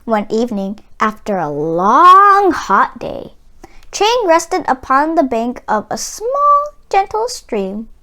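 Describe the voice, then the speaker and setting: A woman narrates a children's picture book in a high voice. She reads slowly and carefully, with very lively swings in intonation. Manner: careful, storytelling delivery. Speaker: children's story reader. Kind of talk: expressive scripted storybook narration.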